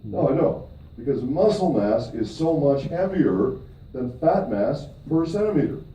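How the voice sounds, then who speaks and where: A man's voice: fairly high pitch, lively intonation, a little slow, mellow. A middle-aged man from Michigan gives a seminar talk to an audience, emphatic, with upward swings on key points and frequent check-ins of "okay?" with the room. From Michigan, USA. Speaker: presenter at a company event. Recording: distant, echoey, dull audio with faint noise.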